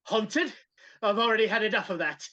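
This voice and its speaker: Old male miser woman performer, mid-pitched, querulous and stiffly formal, dull lo-fi call audio. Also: moderate pace, a bit bright, lightly acted. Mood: uneasy, querulous. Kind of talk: live dramatic reading.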